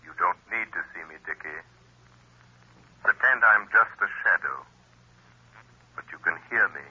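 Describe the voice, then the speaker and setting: An invisible crime-fighter, calm and commanding, low raspy voice, dull old radio sound. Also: male voice, measured pace, heavily acted. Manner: soothing, firm and commanding. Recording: lo-fi 1930s radio broadcast.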